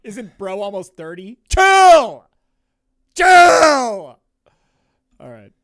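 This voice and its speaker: Sniffly, animated young man streaming. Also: very high voice, very slow, no echo, studio quality. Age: 20s. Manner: animated, laughs often, slangy. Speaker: streamer hosting a watch party. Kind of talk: casual livestream chat.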